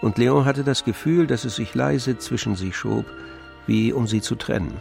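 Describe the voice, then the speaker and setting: An actor playing the all-knowing narrator of a literary tragedy in a radio drama, telling it in a measured, expressive way. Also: male voice, mid-range voice, creaky, faint music behind. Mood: grave.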